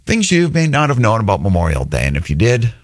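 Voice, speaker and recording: A man hosting a morning radio show, chatty and upbeat, doing energetic live reads. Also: on the low side, fast talker, no echo, clean studio sound. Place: radio studio.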